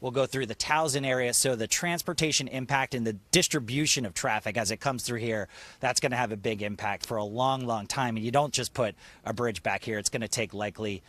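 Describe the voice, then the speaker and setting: A man's voice: medium pitch, a little fast, fairly bright tone, no echo. A man reporting for TV news, moving between narration and live explanation from the field.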